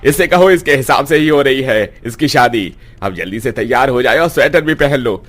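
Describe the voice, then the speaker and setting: A man's voice: mid-range voice, expressive, normal speed, a bit bright, soft background music. A man voicing an exasperated middle-aged father of a bride in a cartoon story, grumbling and resigned.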